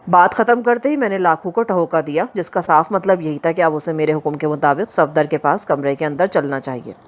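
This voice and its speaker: A woman narrating a first-person crime story for an audio novel, in a steady, measured reading voice. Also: low voice. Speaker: audiobook reader.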